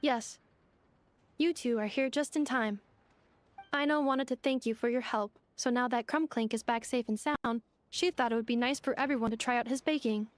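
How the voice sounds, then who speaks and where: Polite, high-voiced robot assistant played by a woman. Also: no room sound, close to an everyday voice. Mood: reassuring. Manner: measured, formal, explanatory. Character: polite, composed female robot assistant, loyal and earnest. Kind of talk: anime-style video game voice acting. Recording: faint music behind the voice.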